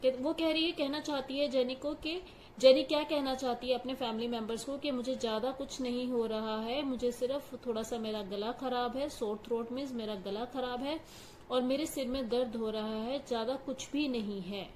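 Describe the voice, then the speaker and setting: A woman's voice: on the high side, steady tone, normal speed, a little mellow, slight background noise. A woman teacher patiently explaining a story line by line, glossing each phrase in simple words. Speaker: English teacher.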